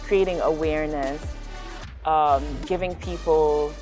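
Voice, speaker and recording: Low-voiced young woman, reflective. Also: steady tone, over loud music. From Lebanon and Liberia. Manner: reflective, unhurried, with casual fillers. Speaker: sprinter. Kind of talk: documentary interview and voice-over.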